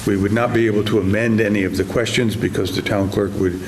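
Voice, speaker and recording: A man advising a town board, explaining procedure in a soft, measured way. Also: fairly low pitch, steady tone, a little hiss or hum, low-quality recording.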